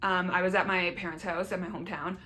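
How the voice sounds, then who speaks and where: A woman's voice: fairly low pitch, flat delivery, fast, pushed and bright. A young woman with a Canadian accent giving a casual, candid personal update to her viewers, chatty and emphatic. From Southern Ontario, Canada. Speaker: YouTuber.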